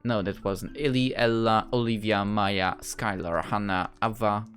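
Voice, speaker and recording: A man's voice: on the low side, fairly even intonation, a little slow, fairly bright tone, soft background music. A man giving casual, playful, rambling playthrough commentary on a game. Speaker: gaming video creator playing his visual novel. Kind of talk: casual playthrough commentary, reading dialogue aloud.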